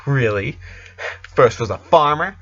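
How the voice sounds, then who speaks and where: A man's voice: medium pitch, animated, a little slow, a bit bright, dull audio. A man voicing a haughty, scornful countess, a sinister tormentor in a storybook reading, taunting with contempt.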